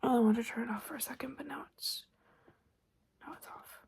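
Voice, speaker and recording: A woman speaking softly and breathily in an ASMR roleplay, often slipping into a whisper, chatty and a little hesitant. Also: on the high side, steady tone, fairly quick, creaky, slightly rough. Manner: hesitant, chatty, murmured reading aloud. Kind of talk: soft-spoken ASMR roleplay. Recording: noticeable background noise. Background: paper and tapping sounds.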